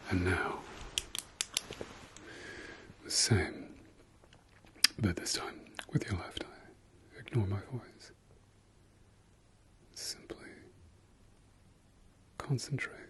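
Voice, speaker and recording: A man whispering softly through an ASMR eye-exam roleplay, repeating gentle praise and soothing words. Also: on the low side. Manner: slow soft whisper, repetitive soothing praise. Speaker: ASMR creator.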